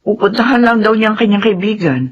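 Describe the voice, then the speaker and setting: An actress playing a suspicious, insecure middle-aged mother in a Filipino radio drama, with a husky voice. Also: mid-range voice, quick pace, highs cut off, close to an everyday voice. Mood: suspicious, guarded.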